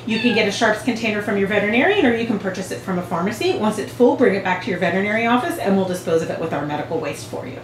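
A woman's voice: medium pitch, animated, racing, hardly any pauses. A woman in her forties, a veterinarian, patiently explaining a procedure step by step in a clinic exam room, recorded from a camera across the room. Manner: clear, patient explaining with hand gestures. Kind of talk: instructional demonstration. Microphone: far camera. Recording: echoey clinic room with faint hum.

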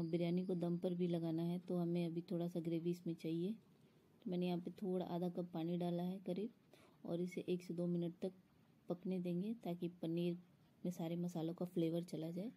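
A woman's voice: on the low side, flat delivery, normal speed, mellow, breathy edge. A woman explaining a recipe step by step in an instructional voice-over, as a home cook. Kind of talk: step-by-step cooking tutorial voice-over.

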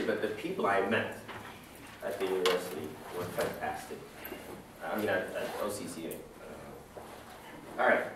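A man's voice: low-pitched, background noise. A middle-aged man from Ethiopia giving a guest talk to a class, conversational and full of fillers and restarts. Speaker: guest lecturer. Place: large dim lecture room. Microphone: far camera. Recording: echoey room from far off.

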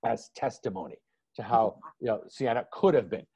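A man giving a relaxed online art history lecture, loose and conversational, with frequent "you know" fillers. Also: fairly low pitch, moderate pace, breathy edge, no echo. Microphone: video call. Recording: dull lo-fi video call audio.

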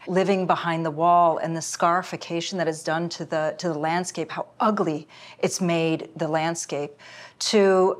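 A middle-aged woman from Palestine, a human rights lawyer, speaking earnestly and firmly about her family in a panel discussion. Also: lowish voice. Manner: earnest, personal, firm. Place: indoor studio set.

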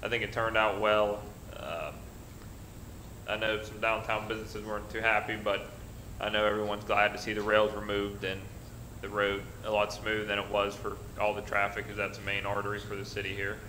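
A middle-aged man giving a plain, halting department report at a city council meeting, with many pauses. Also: lowish voice, completely flat delivery, sharp tone, a little hiss or hum. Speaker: council member. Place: council chamber at a dais. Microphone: desk mic. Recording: roomy council chamber.

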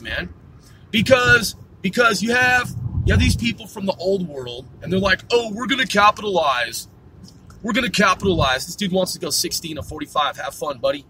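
A man's voice: high voice, pushed and bright, slight background noise, rough recording. A man hosting a podcast, ranting and swearing nonstop while he drives. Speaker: podcast host. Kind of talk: profane rant while driving. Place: in a car on the road.

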